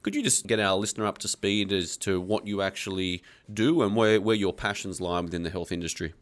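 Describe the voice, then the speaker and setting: A man's voice: low-pitched, a little fast. A man hosting a podcast, chatty and jokey, asking long rambling questions. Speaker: podcast host. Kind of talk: casual podcast chat.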